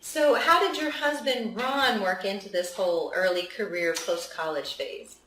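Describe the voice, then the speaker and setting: A woman, hosting an interview in an upbeat way with a quick joking manner. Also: medium pitch, a little hiss or hum. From West Virginia. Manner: bright, upbeat, quick with jokes. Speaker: interview host. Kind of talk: casual interview conversation. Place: studio. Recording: roomy studio sound, mic at a distance.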